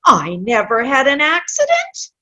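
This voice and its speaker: Lady playing grumpy complaining old woman, high voice that swoops all over, slow n dramatic, stage comedy reading. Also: wildly swooping pitch, bright, forceful tone, exaggerated voice. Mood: defensive, fussy. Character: cranky, complaining old woman Jean, sour and in pain. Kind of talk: play reading. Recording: video call play reading.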